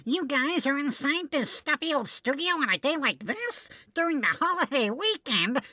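Female, breezy comic banter, slightly high, very bright voice. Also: very animated, moderate pace, no room sound.

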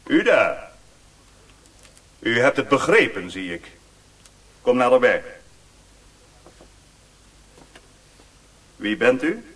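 A man playing a courteous, commanding Chinese lord in a radio play, speaking in a formal, measured, stately manner. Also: medium pitch, very animated, a bit bright, husky, no echo, exaggerated voice. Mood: probing. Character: courteous, commanding Chinese lord, curious about Western science. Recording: hissy old radio play recording.

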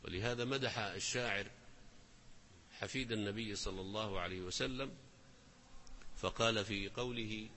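A man teaching a lesson, explaining lines of poetry and reciting verses slowly with emphasis. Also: fairly low pitch, pushed and bright, big-room sound, a little hiss or hum. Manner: slow, deliberate recitation of verses with rising emphasis.

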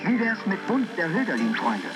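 A man's voice: sits high, very animated, normal speed. A man reading a scripted historical report with formal, declamatory diction. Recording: music playing clearly underneath.

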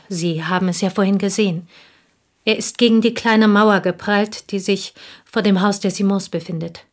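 An actress in a German radio drama playing a reserved village schoolteacher and confidante, speaking in a restrained, measured, factual way. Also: female voice, mid-range voice, close to an everyday voice.

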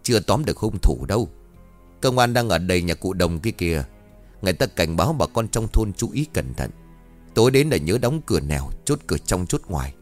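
A man voicing a brisk, gossipy village woman neighbor passing on news. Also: on the low side, voice pushed up. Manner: chatty, brisk, tongue-clicking.

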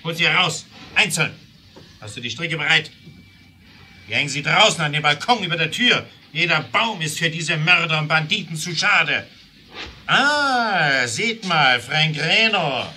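A man's voice: fairly high pitch, lively intonation, a little slow, sharp tone, slight background noise, full character voice. An actor playing a hard male leader of a masked mob in a German adventure audio drama, barking curt orders. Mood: grim, resolute.

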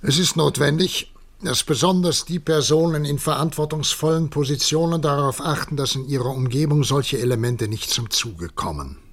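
An actor playing a stern authoritarian government leader in a 1960s German radio drama, speaking in a formal, oratorical, emphatic way. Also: male voice, on the high side, normal speed. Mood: stern, resolute.

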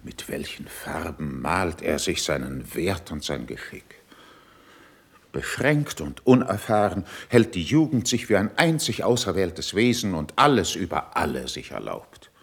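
Low, creaky, swooping older courtier. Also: male voice, very animated, full character voice. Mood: scornful. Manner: haughty, measured, rhetorical. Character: stern, proud older courtier, shrewd and haughty. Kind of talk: classical verse stage acting for radio.

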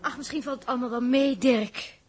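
An actress playing an anxious, bewildered space crew member in a 1960s radio drama, hesitant and stammering, with a Netherlandic Dutch accent. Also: female voice, high voice, fairly even intonation, fairly quick, close to an everyday voice. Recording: long hall reverb, 1960s radio recording.

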